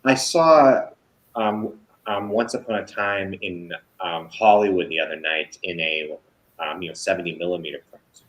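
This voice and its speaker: A man talking as an author guest in a casual interview, halting with many fillers and restarts. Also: deep voice, low-quality recording.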